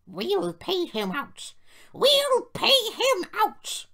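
A shrill, indignant female railway coach from a children's storybook. The voice leaps very high and stretches its words slowly, full of mischief and grievance. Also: wildly swooping pitch, pushed and bright, exaggerated voice. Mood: vengeful grumbling.

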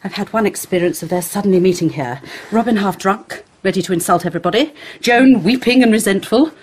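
An actress in a radio play as a sharp, embittered English schoolmistress who lectures disapprovingly in clipped phrases. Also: female voice, mid-range voice, animated, brisk, breathy edge. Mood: disdainful. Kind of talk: radio drama acting.

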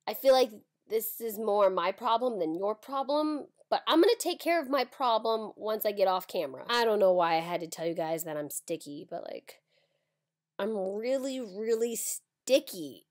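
A woman's voice: mid-range voice, animated, normal speed, darkish tone. An American woman in her twenties telling a personal story to camera at home, dramatic and emphatic. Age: 20s. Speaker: storytime vlogger. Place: small room at home.

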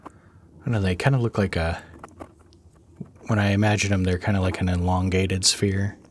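A man hosting an art channel, narrating his drawing in a casual voice-over. He sounds under the weather and worn out, with a raspy voice and a rambling, soft-spoken manner. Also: sits low, steady tone, moderate pace, a little hiss or hum. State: under the weather, low energy.

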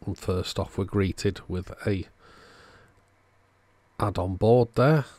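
A man's voice: low-pitched, slow pace, a little mellow, no room sound. A man narrating a hands-on electronics repair video in a casual way as its host.

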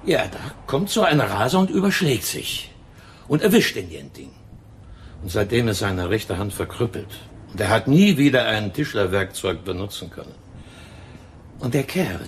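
Gossipy tobacconist, radio crime drama. Lively swoops of pitch, emphatic storytelling, faint noise behind. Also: male voice. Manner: talkative, emphatic, drawn-out storytelling. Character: chatty, gossipy male tobacco shopkeeper. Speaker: tobacco shop owner in a radio play.

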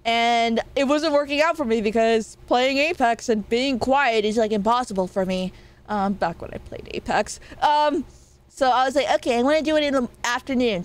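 A young woman streamer with a nasal voice, chatting casually and playfully with her viewers. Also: on the high side. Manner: rambling, playful, quick laughs and swearing. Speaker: VTuber streamer.

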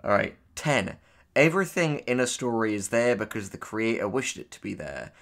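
A man's voice: on the low side, animated, normal speed, fairly bright tone. A man co-hosting a casual critical discussion, thinking aloud and arguing his points in a conversational way. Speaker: podcast co-host and audio drama writer.

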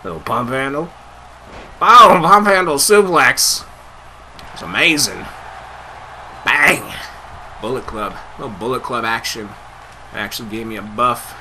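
A young man giving loud, excitable live commentary over a wrestling video game, his voice getting hoarse. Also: medium pitch, a little slow, pauses often, a little hiss or hum. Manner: hyped, shouting play-by-play calls. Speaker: gameplay commentator.

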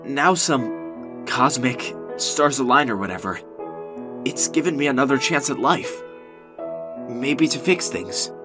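An anxious young male goat monster prince played by a man, full of guilt and self-doubt. His mid-pitched voice swings widely with hesitant, expressive delivery. Music plays clearly behind him in this comic dub. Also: slow pace, pauses often. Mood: fearful, regretful. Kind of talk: animated comic dub voice acting.

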